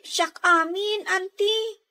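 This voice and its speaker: Casting: woman as meek, put-upon young girl, Ilocano accent, extremely high shrill voice, plaintive radio-drama delivery. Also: fairly even intonation, very slow, bright, forceful tone, full character voice. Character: meek overworked young girl, bullied household drudge.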